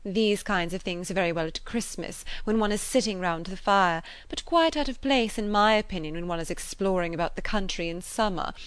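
A woman's voice: medium pitch, a little fast, few pauses, clean tone, no echo, close to an everyday voice. A woman reading a classic novel, voicing a pompous, self-satisfied married lady with an emphatic manner. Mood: affronted, haughty. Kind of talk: classic novel character dialogue.

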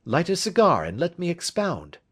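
A man's voice: on the high side, wildly swooping pitch, normal speed, husky, pitched above the performer's own voice, heavily acted. A man reading the part of a brilliant Victorian detective, who lectures in a precise, emphatic way. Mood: lecturing.

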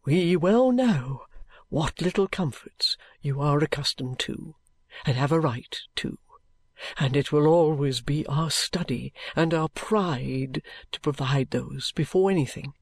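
A reader voicing a meek, dutiful young man in an audiobook, pleading earnestly and submissively in a hushed way. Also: mid-range voice, a little slow, husky, no echo. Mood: imploring, earnest. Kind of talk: audiobook character voice.

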